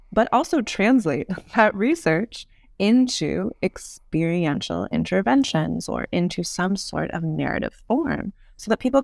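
A woman's voice: fairly low pitch, vocal fry, dry recording, clean studio sound. A woman giving thoughtful, articulate explanations as a guest in an interview conversation. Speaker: podcast guest, urban design expert.

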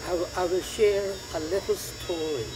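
A man's voice: high voice, fairly even intonation, moderate pace, a crowd in the background. An older man at an indoor market, speaking earnestly and preachily about spiritual truth. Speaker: market visitor. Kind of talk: casual debate. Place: large indoor flea market hall. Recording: busy crowded hall behind.

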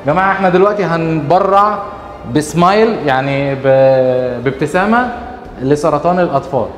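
A man in his thirties with an Egyptian Arabic accent, vlogging excitedly outdoors and in pavilions, talking straight to viewers. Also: fairly high pitch, fairly quick, faint music behind. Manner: excitable, chatty, addresses viewers directly. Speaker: travel vlogger. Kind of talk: casual travel vlog. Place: outdoor expo grounds and indoor pavilions.

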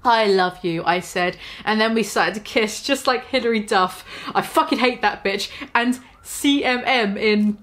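A woman's voice: on the high side, unhurried, hardly any pauses, fairly bright tone. A woman in her twenties giving an exaggerated, sarcastic mock-dramatic reading of fan fiction, recorded in a small room. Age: 20s. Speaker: vlogger reading aloud.

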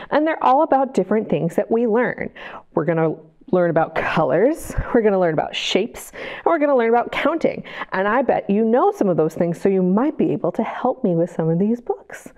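Animated woman reading to young children. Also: fairly high pitch, normal speed. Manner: animated and sing-song, with gasps and questions to young listeners. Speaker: children's librarian. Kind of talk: reading picture books aloud at storytime.

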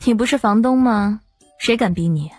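A woman's voice: on the high side, moderate pace, darkish tone, husky, dry recording, soft background music. A woman playing a cold, haughty young female executive in an audiobook, curt and mocking.

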